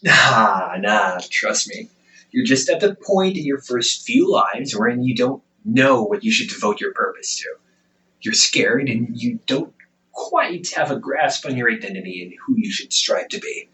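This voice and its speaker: A man acts out an ancient demon older brother for an audio roleplay, slightly distant from the mic. His voice is bright and sharp, swinging widely in pitch as he mocks and condescends. He talks like a smug sibling who is casually menacing. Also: very animated, unhurried, a little hiss or hum. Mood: condescending, reassuring. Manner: sarcastic, condescending, drawling with chuckles. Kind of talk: audio roleplay acting, conversational monologue.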